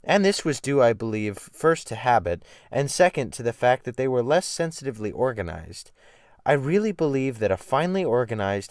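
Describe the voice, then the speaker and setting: Expressive male audiobook narrator, animated pitch. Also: dry recording, studio quality. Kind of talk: dramatic reading of classic fiction.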